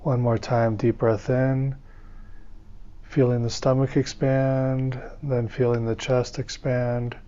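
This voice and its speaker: A man leading a guided meditation as a teacher, speaking in calm, soothing, measured phrases with pauses between them. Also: medium pitch, smooth, dull audio.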